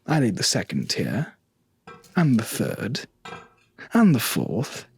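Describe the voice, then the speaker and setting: A man playing a cold, vengeful nobleman who narrates a gothic horror story in a theatrical, formally phrased manner with a husky voice. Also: mid-range voice, wildly swooping pitch, slow, soft background music, heavily acted. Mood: grimly measured. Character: cold, vengeful murderous nobleman recounting his crime.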